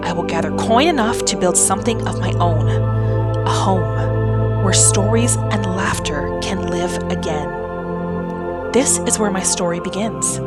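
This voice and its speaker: Lady playing a wistful elf woman far from home, slow solemn lyrical storytelling, clear music behind her. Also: mid-range voice, natural voice. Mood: quietly hopeful. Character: wistful female wood elf exile, hopeful storyteller. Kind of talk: scripted first-person fantasy narration. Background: new-age music.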